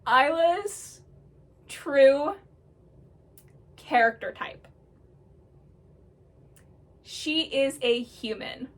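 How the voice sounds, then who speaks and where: A woman vlogger gushing about her own characters in casual talk, excitable and full of "um" fillers. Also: sits high, drawn-out words, pauses often. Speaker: storyteller vlogger.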